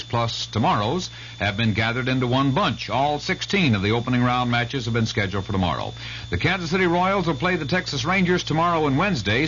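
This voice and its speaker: A man's voice: medium pitch, fairly bright tone. A man reading sports, news and weather as an announcer on 1970s radio. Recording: muffled old radio broadcast, faint hiss.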